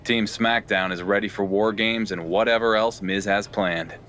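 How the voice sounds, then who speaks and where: A man's voice: on the low side, steady tone, moderate pace, a bit bright, a little hiss or hum, close to an everyday voice. A man playing a self-assured pro wrestler and team captain in a scripted game cutscene. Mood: confident.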